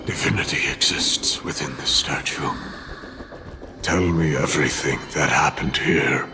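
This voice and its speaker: An actor playing a solemn, weary warrior in a video game, speaking gravely and with measured deliberation. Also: male voice, rumbling low, music in the background. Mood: commanding. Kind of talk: dramatic video game voice acting.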